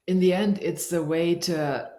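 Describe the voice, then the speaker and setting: A middle-aged woman from Germany, a leadership coach, answering interview questions thoughtfully and pausing often to search for her words. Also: lowish voice, steady tone, warm tone. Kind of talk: reflective interview conversation. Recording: echoey room.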